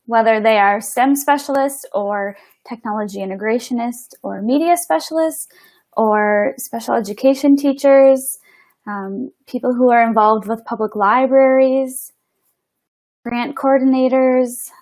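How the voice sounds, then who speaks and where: A woman's voice: a little high, measured pace, darkish tone. A woman with a Minnesota accent, a guest educator on a webinar, speaking in a friendly, eager way over a video call. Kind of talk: webinar conversation.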